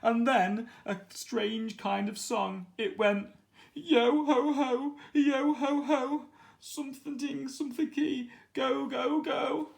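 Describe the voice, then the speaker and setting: A man voicing a weepy, blustering old pirate captain in a children's storybook, with a high, sobbing, theatrical voice. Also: little pitch movement, slow, gravelly, few pauses, exaggerated voice. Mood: distraught.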